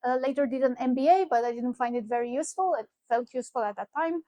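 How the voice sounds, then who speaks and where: High-voiced Romanian woman giving a talk. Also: fast, dark tone, no room sound. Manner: conversational, with frequent fillers and restarts. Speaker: keynote speaker. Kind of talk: conference talk. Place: conference venue.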